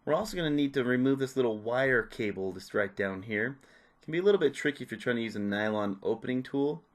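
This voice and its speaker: A man's voice: mid-range voice, expressive, fast talker. A man presenting a repair tutorial, giving casual, informal step-by-step hands-on instructions. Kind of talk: casual hands-on how-to narration.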